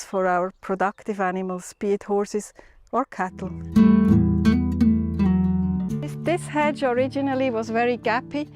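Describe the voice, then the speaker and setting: Middle-aged woman from Switzerland, fairly high voice, calm and reflective, recorded outdoors with soft music under. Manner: thoughtful, unhurried, with small restarts. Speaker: stud farmer. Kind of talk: documentary interview. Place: outdoors on a farm.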